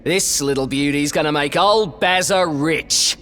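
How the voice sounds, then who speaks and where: An actor playing a brash, greedy treasure-seeker in a video game, with a cheeky, slangy way of talking. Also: male voice, a little high, a little slow, pushed and bright, soft background music. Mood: greedy, pushy. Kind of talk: scripted video game cutscene acting.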